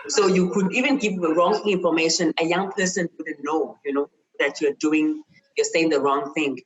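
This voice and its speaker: Low-voiced South African woman, earnest. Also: steady tone, moderate pace, dull audio. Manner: earnest, emphatic, repeats phrases for stress. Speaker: university chemist and lecturer, interview guest. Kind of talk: personal interview, reflective storytelling.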